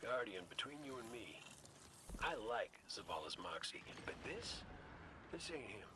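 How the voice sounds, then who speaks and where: A wry gunslinger adventurer from a video game, candid and folksy. His mid-range voice moves up and down freely, with clear effects noise around it. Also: lowish voice, very animated, unhurried. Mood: confiding, uneasy.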